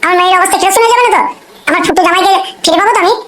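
A woman's voice: very high-pitched, racing, a bit bright. A woman voicing a distressed, poor mother-in-law in a comic cartoon, plaintive and wordy. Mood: anxious. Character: distressed, pleading poor mother-in-law begging a gangster for help. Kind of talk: amateur comic cartoon dialogue.

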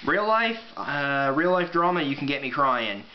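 A man's voice: on the high side, expressive, moderate pace. A man in his twenties talking casually as an amateur book reviewer, recorded at home on a camera mic. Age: 20s. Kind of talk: casual vlog review with read-aloud passages. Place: small room at home, indoors. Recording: dull home camera audio, faint noise.

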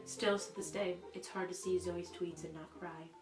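A young woman reading a mock confession in a deadpan, earnest, dramatic style. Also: lowish voice, moderate pace. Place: small room indoors. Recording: roomy echo. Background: clear music.

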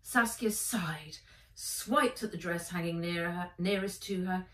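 A middle-aged woman reading a children's story aloud as its author, with expressive, emphatic dramatic narration. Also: on the low side, a little slow. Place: sitting room by a window, indoors. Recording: roomy, slightly distant home recording.

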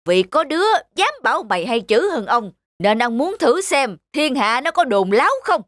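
A woman's voice: a little high, sing-song, normal speed, no echo, studio quality. A woman voicing an arrogant, plump older male village scholar in a cartoon, talking down to everyone in a haughty, scolding way. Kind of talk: cartoon voice acting. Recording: music playing under voice.

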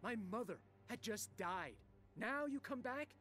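A man's voice: extremely high pitch, expressive, a little slow, sharp tone, faint music behind, full character voice. A young man playing an intense, emotional, resentful ninja son in a video game. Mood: bitter, angry. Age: young adult. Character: hot-headed, wounded young male ninja warrior, resentful son. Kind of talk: dramatic video game acting.

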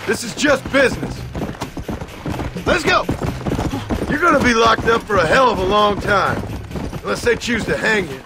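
Grim American gunslinger. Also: male voice, sits high, expressive, normal speed, vocal fry, pauses often, very noisy. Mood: cold, stern. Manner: grim, blunt, dry. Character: stern, resentful American ex-outlaw gunslinger. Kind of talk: video game cutscene acting.

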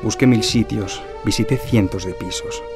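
A man's voice: fairly low pitch, normal speed, music in the background, lightly acted. An actor playing a self-satisfied, articulate male killer, speaking formally in an audio drama. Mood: cold, proud. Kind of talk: audio fiction acting.

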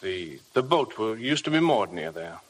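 Guarded, polite estate manager. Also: male voice, lowish voice, very animated, moderate pace, slightly rough. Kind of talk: 1950 radio drama acting.

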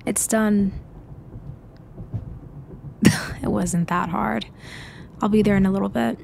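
A woman playing a cool, teasing, controlling mafia leader in an ASMR roleplay, speaking deliberately in a murmur that often drops toward a whisper. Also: mid-range voice, fairly even intonation, vocal fry, slight background noise. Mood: cool, businesslike. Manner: slow, deliberate, softly taunting, often dropping to a whisper. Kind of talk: ASMR roleplay audio acting.

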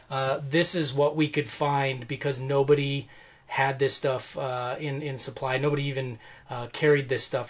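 A man's voice: a little high, fairly bright tone. A man in his forties explaining a motorhome sink swap to camera in a chatty, self-deprecating way, full of fillers and restarts, inside a motorhome kitchen. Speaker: RV renovation vlogger. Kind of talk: casual DIY how-to talk to camera.

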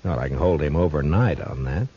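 A steady frontier lawman with a deep American voice. He is laconic and drily humorous, in the manner of fifties radio westerns. The recording is muffled. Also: fairly quick, breathy edge, heavily acted. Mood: wry. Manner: laconic, dry. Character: steady, dry-humored frontier town marshal. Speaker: lead and narrator. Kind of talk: 1950s radio western acting.

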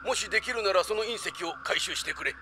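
A man's voice: sits high, quick pace, very noisy, lightly acted. A man voicing an elderly cartoon scientist, kindly but worried, explaining things in old-fashioned old-man speech. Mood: grave. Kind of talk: anime voice acting.

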